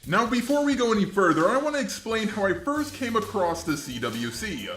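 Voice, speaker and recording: A man's voice: high-pitched, very animated, brisk, roomy sound, over loud music. A man hosting an internet commentary video, reading a scripted narration. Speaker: commentary channel host.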